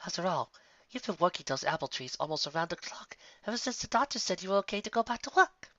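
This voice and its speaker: A refined female pony of fashion from a storybook, acted out by a man. The voice is high and genteel, with theatrical swoops and fussy politeness. The recording is dry and slightly muffled. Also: animated, fast, sharp tone, dry recording. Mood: concerned, soothing.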